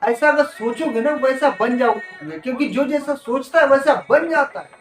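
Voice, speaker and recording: High-voiced Indian man, roomy distant recording. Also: moderate pace. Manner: emphatic, repeats points for effect. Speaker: motivational video presenter. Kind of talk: informal motivational talk. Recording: roomy, distant mic with music. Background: music.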